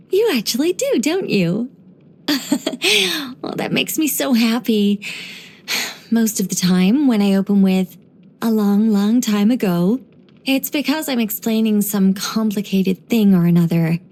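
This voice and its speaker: A woman voicing a gentle, wry former angel turned human storyteller in a video game, musing and lightly teasing in an unhurried way. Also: a little high. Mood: delighted, wistful. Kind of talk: scripted video game character voice lines.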